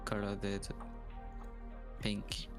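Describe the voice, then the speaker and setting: A man's voice: on the low side, completely flat delivery, drawn-out words, faint music behind. Easygoing male co-streamer. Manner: easygoing, brief replies. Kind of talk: casual livestream chat.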